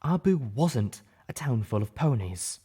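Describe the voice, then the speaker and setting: Man acting a outraged unicorn mare, righteous, declamatory, lively swings in pitch, storybook reading. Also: unhurried, breathy edge. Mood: condemning. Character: fierce, righteous female unicorn, condemning and outraged.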